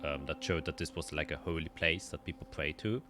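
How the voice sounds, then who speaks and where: A man's voice: extremely low pitch, brisk, over music. A man narrating casually as the game master of a tabletop roleplaying game.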